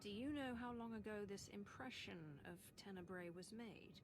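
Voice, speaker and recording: A woman's voice: medium pitch, moderate pace, noisy background, lightly acted. A woman playing a serious, concerned ally in a video game.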